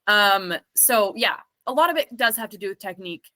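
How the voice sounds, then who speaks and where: Brief: woman vocalist in interview, bright ringing tone, loose talky delivery with lots of fillers. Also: medium pitch, lively intonation, fairly quick. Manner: chatty and reflective, many "like" fillers. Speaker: singer and self-producing recording artist. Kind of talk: casual interview answers.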